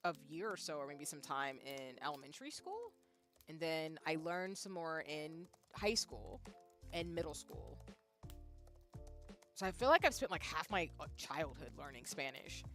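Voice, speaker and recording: A woman's voice: low-pitched, fairly bright tone. A young woman streamer chatting casually with her viewers, thinking aloud with frequent restarts. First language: English. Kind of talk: casual chat reading viewer comments.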